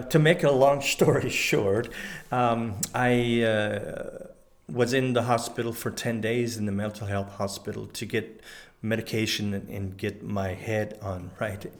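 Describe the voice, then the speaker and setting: Halting middle-aged man, French Canadian-sounding. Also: mid-range voice. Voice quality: halting aphasic speech after a stroke. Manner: candid, many pauses and fillers. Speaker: guest, former pastor. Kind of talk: personal testimony in an interview.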